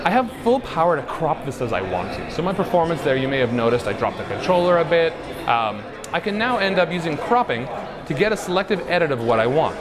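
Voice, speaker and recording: A man's voice: on the high side, sing-song, a little fast, music in the background. A man giving a live product demonstration in an upbeat, playful showman's manner. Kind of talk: live stage demo presentation. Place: busy trade show floor. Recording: loud crowd noise behind. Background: convention crowd.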